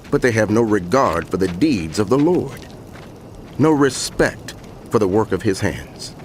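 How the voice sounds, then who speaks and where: A man's voice: fairly low pitch, a little hiss or hum. A man playing a solemn prophet who proclaims woe in an emphatic, declamatory way in dramatized scripture narration.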